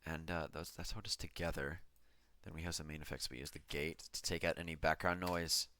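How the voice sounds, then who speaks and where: A man's voice: a bass voice, flat delivery, brisk, bright, forceful tone, no room sound. A man giving an offhand, self-deprecating commentary as he breaks down an electronic track he produced. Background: music from the track being played.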